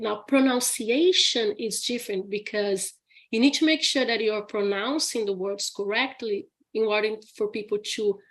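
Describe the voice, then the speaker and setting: A woman speaking English with a Brazilian accent, answering viewer questions as a teacher at an easy, slow pace. Her voice sits at an ordinary middle pitch. She talks in a chatty way, laughs often and keeps checking in with "okay?". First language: Portuguese. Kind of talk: live Q&A session.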